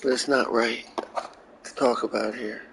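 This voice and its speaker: A man's voice: mid-range voice, steady tone, a little slow, noisy background. A man chatting casually over a video game, swearing freely and rambling through crude jokes. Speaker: streamer reacting to a video game. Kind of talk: casual profane gameplay chat.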